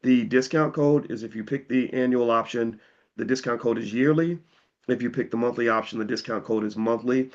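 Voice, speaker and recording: A slightly hoarse man hosting, giving a casual, persuasive sales pitch to viewers in plain-spoken talk, often repeating words as he restarts. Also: brisk, muffled recording. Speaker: host pitching an investing course.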